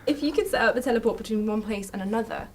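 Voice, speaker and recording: A woman's voice: a little high, racing, slight background noise. A woman asking questions in a played interview clip, giggly and flustered. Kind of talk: press junket interview.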